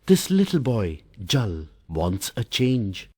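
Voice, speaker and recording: A man's voice: fairly low pitch, sing-song, takes it slowly, a little mellow, husky, dry recording, clean studio sound, pitched above the performer's own voice, heavily acted. A man voicing a kindly, motherly auntie who is a river, speaking in a soothing way.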